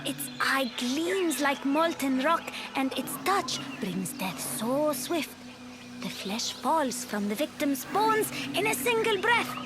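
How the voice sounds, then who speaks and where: An actress playing a young woman who tells a dark folktale slowly and solemnly in a video game. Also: high-pitched, big pitch swings, heavily acted. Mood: grave. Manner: slow, solemn storytelling. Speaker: game character. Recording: game audio with loud music.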